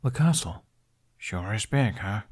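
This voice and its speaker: A man's voice: lowish voice, animated, a little slow, darkish tone, slightly rough. A man voicing a playful, cocky young male bard with a teasing, casual manner in a storybook reading.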